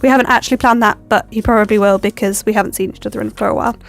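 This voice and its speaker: A woman's voice: mid-range voice, fairly even intonation, rapid-fire, creaky. A young woman with a stuffy cold, chatting and rambling with lots of ums as she decorates her planner. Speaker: planner vlogger. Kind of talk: chatty plan-with-me narration.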